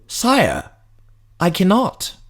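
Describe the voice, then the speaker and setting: Man as a timid, high-pitched elven healer. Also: wildly swooping pitch, very slow, exaggerated voice. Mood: fearful, pleading. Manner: sycophantic, pleading. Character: timid middle-aged high elf healer, servile, trembling. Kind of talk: fantasy audiobook drama.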